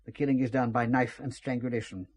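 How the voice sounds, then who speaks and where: An actor playing a suave, ruthless British intelligence chief in a spy audio drama, speaking with urbane authority. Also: male voice, medium pitch, flat delivery, fast, lightly acted. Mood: grave, briefing.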